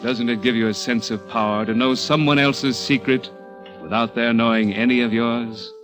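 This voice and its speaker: An American man acting as a cunning, controlling young murderer in a radio thriller, with an insinuating, halting, deliberate delivery. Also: medium pitch, fairly even intonation, over loud music, close to an everyday voice. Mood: tense, brooding. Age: young adult. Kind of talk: radio thriller acting with first-person narration.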